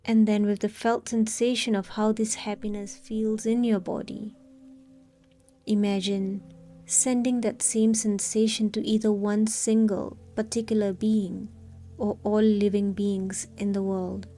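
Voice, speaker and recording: Mellow-voiced woman guiding a meditation. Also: mid-range voice, faint music behind. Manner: calm, soothing, unhurried with long pauses. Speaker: meditation guide. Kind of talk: guided meditation, scripted.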